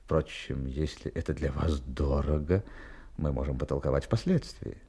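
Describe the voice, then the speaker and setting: An actor playing a courteous, calculating, gruff sea captain in an audio drama, speaking formally with weighty, deliberate pauses. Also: male voice, a bass voice, dark tone, full character voice. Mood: courteous, measured.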